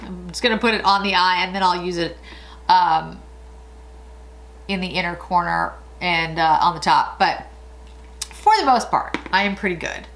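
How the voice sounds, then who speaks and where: A woman's voice: on the low side, moderate pace, pauses often, a bit bright, poor audio. Chatty woman in her forties. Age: 40s. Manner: chatty, rambling, laughs often. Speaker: beauty vlogger. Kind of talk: casual makeup tutorial chat.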